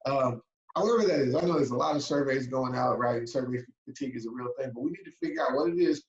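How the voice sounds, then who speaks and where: A man's voice: medium pitch, fast talker. Earnest man on a roomy, dull call. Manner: earnest, emphatic, asks for agreement with repeated "right?". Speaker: webinar panelist. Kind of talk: closing remarks on a video call. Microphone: video call.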